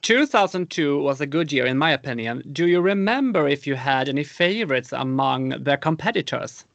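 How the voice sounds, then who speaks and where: A male interviewer with a gravelly, somewhat higher voice asks prepared questions and supplies facts to his guest. The sound is dry and close. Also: lively intonation, normal speed, a bit bright, no room sound, highs cut off.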